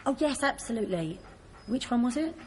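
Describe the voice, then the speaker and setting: A woman's voice: fairly high pitch, expressive, a little fast, a little hiss or hum, natural voice. A woman playing a chatty, oblivious mortuary attendant in radio drama, breezy and full of curiosity. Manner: breezy, inquisitive. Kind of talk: comic naturalistic radio drama acting.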